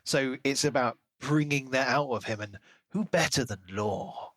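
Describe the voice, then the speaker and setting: A man's voice: medium pitch, a little slow, a bit bright, no echo. Analytical male podcaster, dry close sound. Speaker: podcast co-host. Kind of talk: casual book discussion.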